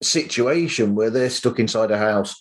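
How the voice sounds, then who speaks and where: A man hosting a casual podcast interview, asking and musing in a halting way, often rephrasing mid-thought. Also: on the low side.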